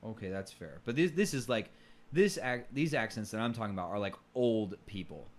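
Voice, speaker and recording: A man co-hosting a podcast in casual conversation, halting and often restarting his phrases. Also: fairly low pitch, expressive. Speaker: podcast co-host.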